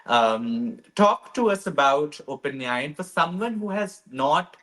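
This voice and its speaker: Man interviewing with measured questions. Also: on the high side, animated, fairly bright tone. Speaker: podcast host.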